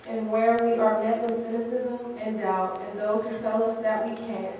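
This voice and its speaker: A woman's voice: a little high, dark tone. Young woman speechmaking in big echoey church. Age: young adult. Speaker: congregation youth member reading a speech. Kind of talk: read-aloud political speech at prayer service. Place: large church, indoors. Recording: echoey church hall, far off, faint noise.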